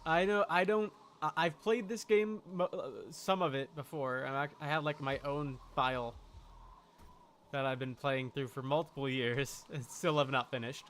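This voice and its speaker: Male game streamer, bright mid-range voice, wry off-the-cuff commentary muttered half to himself, slight background noise. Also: on the high side, fairly even intonation, moderate pace. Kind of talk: casual live gameplay commentary.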